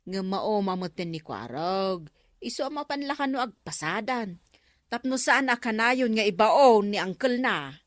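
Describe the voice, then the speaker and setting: Actress as village storekeeper, chatty, nosy, teasing, mid-pitched, comedy radio drama. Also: female voice, lively intonation, a little slow, dry recording, studio quality, close to an everyday voice. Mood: gossipy.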